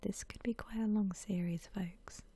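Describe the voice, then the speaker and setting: A woman gives ASMR game commentary in a very breathy whisper. Her delivery is slow and gentle, as if thinking aloud. The tone is soft and mellow throughout. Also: mid-range voice, fairly even intonation. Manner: gentle, unhurried, musing aloud. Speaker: host of an ASMR gaming channel.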